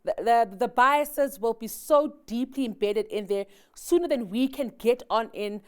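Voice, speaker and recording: A woman's voice: on the high side, unhurried, clean tone. A woman interviewing a guest on a business TV channel, framing long thoughtful questions with some hesitation.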